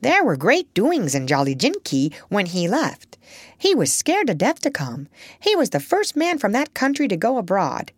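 A woman voicing an elderly female parrot who chatters on with excitable gossip. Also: mid-range voice, normal speed, breathy edge, no echo, studio quality. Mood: chatty. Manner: excitable, talkative, breathless gossip.

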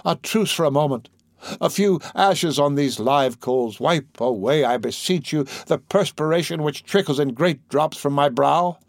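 A man's voice: on the high side, unhurried, no room sound, studio quality, voice pushed up. A man reading the part of an anguished priest desperately pleading his obsessive love, in impassioned, theatrical declamation. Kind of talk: audiobook character reading.

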